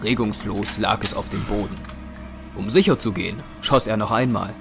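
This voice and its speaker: Male narrator, lowish voice, dramatic scripted storytelling over prominent music. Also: moderate pace.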